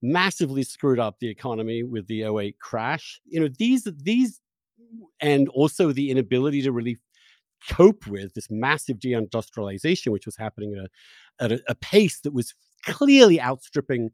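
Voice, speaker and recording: Male American interviewer, mid pitch, animated swings, opinionated and discursive, casual political chat. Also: no room sound. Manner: discursive, opinionated, halting and searching. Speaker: interviewer, host.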